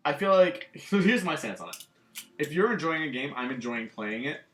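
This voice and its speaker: A man's voice: on the high side, big pitch swings, moderate pace, fairly bright tone, slight background noise. Rambling man in an echoey room. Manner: rambling, many fillers like "um" and "like". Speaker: gaming channel co-host. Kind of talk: casual chat about video games.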